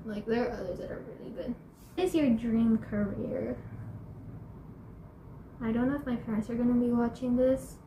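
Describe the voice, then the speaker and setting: A teenage girl chatting in a bubbly way in a casual home vlog, laughing often and filling pauses with fillers. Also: fairly high pitch, mellow, slight background noise. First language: Spanish and English. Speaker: YouTuber. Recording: echoey room, faint ambience.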